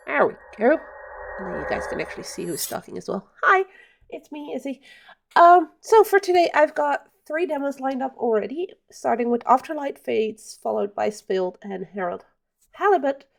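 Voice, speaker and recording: A woman's voice: sits high, sing-song, normal speed, gravelly. A Dutch woman with a Dutch accent, chatting casually on a live stream and answering chat with many ums. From Netherlands.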